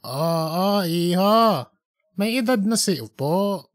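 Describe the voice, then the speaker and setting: A performer voicing a devout, earnest man in his late eighties for an audiobook story, speaking slowly and deliberately. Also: medium pitch, animated, dry recording, clean studio sound. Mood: earnest, matter-of-fact. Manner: slow, deliberate, hesitant openers. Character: pious, earnest 87-year-old Filipino grandfatherly man. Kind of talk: fictional audiobook character dialogue.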